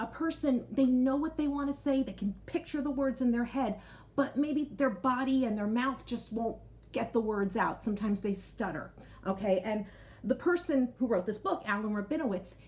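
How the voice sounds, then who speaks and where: A woman's voice: a little high, darkish tone. A woman speaking as a teacher in a read-aloud lesson for young children, explaining things simply and often checking that they follow. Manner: explains simply, with frequent checking "okay?" and recaps. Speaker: teacher reading a picture book aloud to children.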